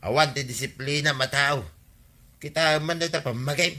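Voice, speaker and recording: A man's voice: a little high, moderate pace, bright, forceful tone. A man playing a scolding, exasperated villager in a radio comedy drama, nagging and complaining.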